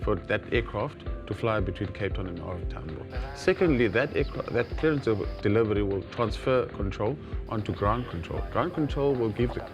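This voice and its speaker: A man with a South African accent and a fairly low voice answers as a studio interview guest. His explanations are formal but halting, and music plays clearly behind him. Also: animated, muffled recording. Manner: hesitant, formal, stop-start phrasing. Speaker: guest, air traffic controller.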